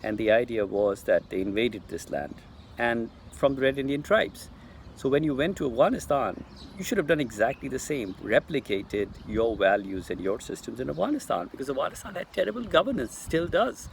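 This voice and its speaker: A man's voice: mid-range voice, very animated, normal speed, gravelly, slight background noise. A man from Pakistan with a Pakistani English accent, speaking straight to camera in an earnest, insistent monologue. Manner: earnest, insistent, addresses Americans directly. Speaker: political commentator.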